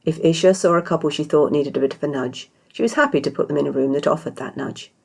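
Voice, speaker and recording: A low-voiced British woman reads a story as its author. Her delivery is scripted narration, with a gravelly creak running through the deep register. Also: fast. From Britain. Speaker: author reading own story.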